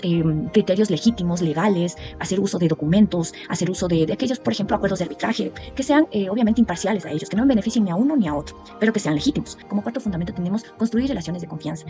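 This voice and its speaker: Faint music sits behind a woman delivering an academic presentation. She talks very quickly in a dark, relaxed timbre. Her manner is didactic, listing and explaining each point in turn. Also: medium pitch. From Ecuador. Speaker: university student presenter.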